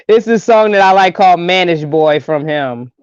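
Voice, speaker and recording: A woman with a low speaking voice, chatting as a guest on a live stream, frank and teasing. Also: darkish tone, lo-fi sound. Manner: frank and teasing, laughs often. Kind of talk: casual chat.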